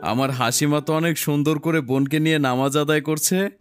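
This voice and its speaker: A man's voice: on the high side, brisk, loud music behind the voice, close to an everyday voice. A man playing a kindly village farmer and devout father in a cartoon, with a mild, affectionate manner. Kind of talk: acted cartoon dialogue.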